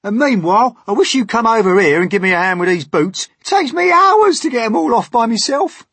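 A man's voice: extremely high pitch, very animated, a little fast, a bit bright, slightly rough, dry recording, pitched above the performer's own voice, heavily acted. A man voicing a cheeky, boastful male centipede in a storybook, exclaiming proudly and teasingly. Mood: brash, cheery.

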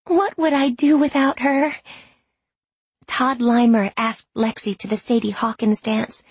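A woman voicing an earnest teenage high school girl confiding in her diary in an audiobook, self-mocking and candid. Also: fairly even intonation, a little slow, slightly rough, no echo. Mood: chatty, confiding. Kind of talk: audiobook reading of diary entries.

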